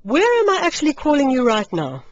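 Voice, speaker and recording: A woman hosting a live radio interview in a chatty, animated, exclaiming manner. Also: high-pitched, a little fast, no room sound. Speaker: radio host interviewing a guest. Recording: muffled radio broadcast.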